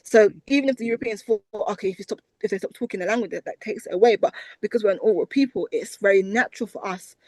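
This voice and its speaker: Animated British woman guest. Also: mid-range voice, fast, few pauses, no echo. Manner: animated, repeats words for emphasis. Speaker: guest, language researcher. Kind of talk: conversational interview.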